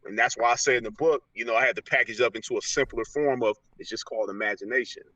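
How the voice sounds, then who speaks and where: A man's voice: mid-range voice, fast talker, pushed and bright, no echo. An American man talking casually and motivationally as an interview guest, slangy and often checking that the listener follows. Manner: slangy, repeats "you know what I'm saying". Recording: slightly distant, dull lo-fi sound.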